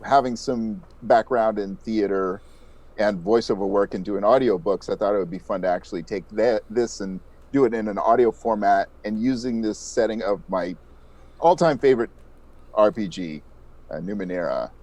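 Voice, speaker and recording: A man's voice: fairly low pitch, moderate pace, darkish tone. A man speaking as an interview guest, explaining things modestly in a conversational way. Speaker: interview guest, audio drama podcast creator.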